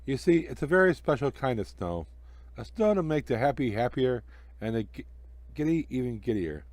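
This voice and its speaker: Animated male storyteller, close and dry. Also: medium pitch, moderate pace, no room sound. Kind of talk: expressive children's story narration.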